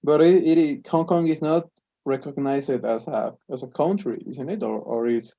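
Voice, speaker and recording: A man's voice: mid-range voice, normal speed, vocal fry, mellow, highs cut off. A man practising conversation as a student, asking curious questions and repeating words as he searches for them. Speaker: language student.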